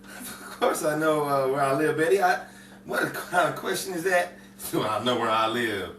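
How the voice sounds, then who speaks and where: A man's voice: on the high side, moderate pace, a bit bright, lightly acted. A man playing a flustered, defensive driver in an old-time radio comedy, stammering and repeating himself. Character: excitable, defensive, bumbling man driving a cramped car. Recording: roomy, distant, clearly noisy.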